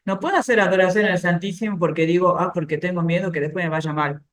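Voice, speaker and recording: A woman's voice: lowish voice, fast talker. Woman teaching in an echoey room. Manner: conversational, with everyday examples and soft laughs. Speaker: catechist giving a talk. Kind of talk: informal faith talk, teaching from a book.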